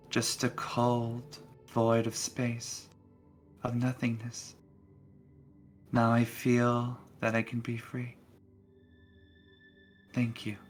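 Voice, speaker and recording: A man's voice: mid-range voice, lightly acted. A man playing the ghost of a homeless elderly woman, telling things wistfully and wearily in a tabletop roleplaying game. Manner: slow, addresses listener as dearie.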